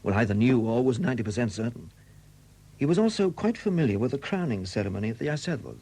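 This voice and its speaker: Shrewd police detective, animated delivery. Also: male voice, medium pitch. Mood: methodical, insistent. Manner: probing, deliberate, courteous but insistent questioning. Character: shrewd, relentless male police detective superintendent. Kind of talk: 1970s British radio drama acting. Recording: dated 1970s radio recording.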